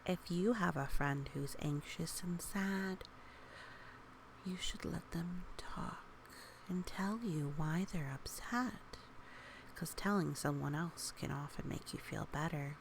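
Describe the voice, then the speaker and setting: A woman reading a children's story aloud in a gentle, encouraging way, with casual asides to young listeners and a creaky voice that often softens toward a whisper. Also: lowish voice, slow. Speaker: storybook reader. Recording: faint background noise.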